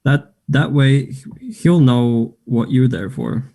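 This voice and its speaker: Man acting husky, creaky, pushy young lover. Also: mid-range voice, expressive, slow pace, dark tone. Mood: bitter, sarcastic. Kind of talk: play table read.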